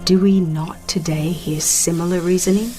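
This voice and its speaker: A woman reading scripted religious narration as an audiobook, with solemn, deliberate and dramatic phrasing. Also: lowish voice, fairly even intonation, warm tone, faint music behind.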